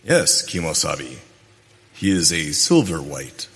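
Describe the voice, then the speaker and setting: A man playing a loyal, watchful western sidekick in radio theater, with measured, formal, simple phrasing. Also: a bass voice, very animated, a bit bright, heavily acted. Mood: urgent, watchful. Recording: reverberant hall sound.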